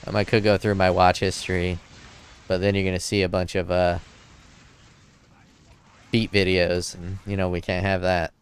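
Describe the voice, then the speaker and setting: A man with a low, smooth voice talks into a desk mic in front of his keyboard, streaming a game from home. He rambles in a relaxed, joking way and is a little drunk. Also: moderate pace, pauses often. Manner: laid-back, rambling, joking. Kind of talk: casual livestream chat, reading chat aloud.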